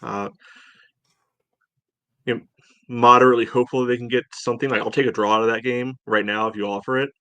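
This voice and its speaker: Measured man talking soccer, dry close sound. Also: mid-range voice, little pitch movement, pushed and bright, no room sound. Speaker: sports podcast co-host. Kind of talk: casual soccer analysis.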